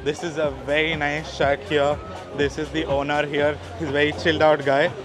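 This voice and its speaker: A man's voice: on the high side, level tone, normal speed, over music, crowd noise. Chatty male beach vlogger, narrow-voiced. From India. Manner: chatty, addresses viewers as guys, many fillers. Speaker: travel vlogger. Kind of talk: casual walking tour vlog. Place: outdoors on a busy beach. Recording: outdoor noise behind the voice.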